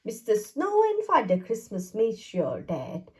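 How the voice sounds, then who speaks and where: Female storybook reader for young children: deliberate, highly animated intonation, slow delivery. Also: slight background noise. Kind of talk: children's read-aloud narration.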